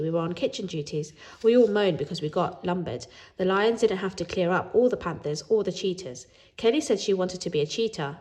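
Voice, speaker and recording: A woman reading a children's book aloud in an animated way, as a storyteller who acts out the dialogue. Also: lowish voice.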